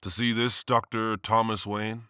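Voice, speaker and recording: A man's voice: fairly low pitch, flat delivery, unhurried, bright, forceful tone, smooth, no room sound, studio quality. An actor playing a blunt, sardonic male district attorney.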